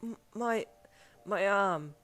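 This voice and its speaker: A woman's voice: low-pitched, fairly quick, faint music behind. A woman voicing an earnest young man with a stiff, formal and sincere way of talking, in a narrated story. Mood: pained.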